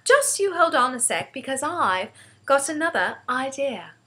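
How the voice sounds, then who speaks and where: A woman voicing an impassioned, determined little orphan girl in a storybook, excitable and insistent. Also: sits high, a little slow, voice pushed up, heavily acted. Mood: excited. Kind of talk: storybook character voice.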